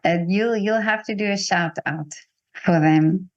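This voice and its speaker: A woman's voice: mid-range voice, a little slow, dull audio. A woman hosting a casual conversational interview in an encouraging way, with frequent listening murmurs. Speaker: interviewer, host.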